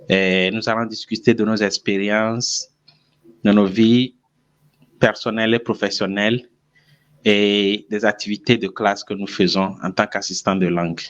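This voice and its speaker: A man from Ghana, a native English speaker, hosts a podcast in a slow, halting way, full of fillers. His voice comes through a video call with dull, lo-fi sound. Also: on the low side, a bit bright, smooth. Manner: hesitant, slow, many fillers. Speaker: podcast host. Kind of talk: casual chat.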